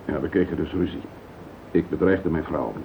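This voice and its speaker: Tormented, low-voiced husband. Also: male voice, creaky. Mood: haunted, troubled. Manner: halting, emotional, self-justifying. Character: distraught husband confessing a murderous marriage, bitter and guilt-ridden. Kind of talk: radio play acting. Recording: old tinny radio recording with hiss.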